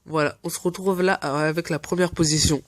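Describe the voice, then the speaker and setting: High-voiced man, stuffy with a cold. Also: fairly even intonation, fairly quick, dry recording. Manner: earnest and hesitant, often searching for words. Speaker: anime fan channel host. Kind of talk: casual fan commentary.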